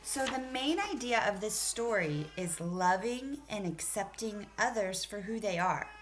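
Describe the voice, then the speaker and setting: A woman's voice: medium pitch, lively intonation, measured pace. Woman teacher leading a children's read-aloud lesson. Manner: encouraging, instructive, addressing children directly. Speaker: elementary school teacher.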